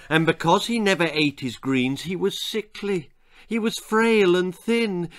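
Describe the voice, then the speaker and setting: Slow, theatrical older storyteller. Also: male voice, a little high, fairly bright tone. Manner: theatrical, emphatic. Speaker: author reading own story aloud. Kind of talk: dramatic children's storytelling. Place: small book-lined room at home.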